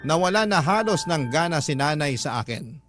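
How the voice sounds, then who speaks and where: A man's voice: on the high side, lively intonation, normal speed. A man narrating a Filipino radio drama as a scripted, first-person dramatic story. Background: prominent background music.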